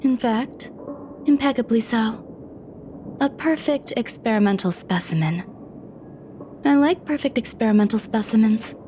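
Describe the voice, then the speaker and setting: An actress playing a serene, clinically detached female scientist in a video game cutscene, speaking calmly. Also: a little high, unhurried, pauses often, noisy background.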